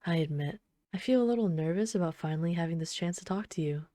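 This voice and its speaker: Woman acting a mellow, low-voiced obsessive villainess. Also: no room sound, studio quality, close to an everyday voice. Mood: smitten, teasing. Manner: soft, unhurried, teasing, casually menacing. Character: obsessive yandere villain, flirtatious bomber calmly toying with trapped hero. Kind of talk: ASMR roleplay, intimate scripted monologue.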